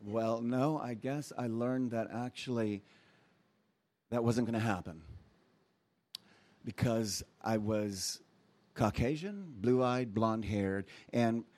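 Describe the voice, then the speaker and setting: Guy who grew up in japan giving a guest sermon, lively storytelling, lots of little asides. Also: mid-range voice, slow pace, pauses often. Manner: animated storytelling with asides and laughs. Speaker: guest speaker. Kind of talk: sermon with scripture reading.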